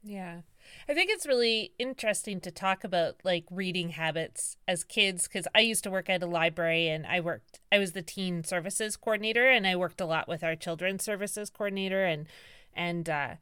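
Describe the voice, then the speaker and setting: A woman's voice: on the low side, fairly bright tone, smooth, no echo, clean studio sound. A woman hosting an interview podcast, chatty and rambling, full of likes and restarts. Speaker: podcast host. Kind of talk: casual interview chat.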